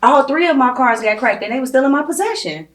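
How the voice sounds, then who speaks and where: A woman with an American accent chatting casually as an interviewer, animated and slangy, repeating phrases for emphasis. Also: high voice, moderate pace. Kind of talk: casual chat. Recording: roomy echo.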